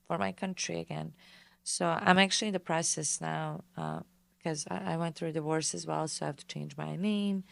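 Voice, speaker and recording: A woman's voice: lowish voice, gravelly, clean tone, no room sound. A woman from Bosnia and Herzegovina, hosting a casual solo question-and-answer podcast in a chatty way, full of fillers. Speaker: podcast host and tennis pro.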